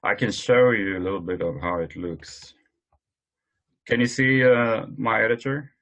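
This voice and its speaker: A man presenting informally in an online work meeting, speaking hesitantly with frequent pauses. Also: fairly low pitch, creaky, a bit bright. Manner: hesitant, halting delivery with many pauses. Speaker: meeting host presenting tools. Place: online conference call.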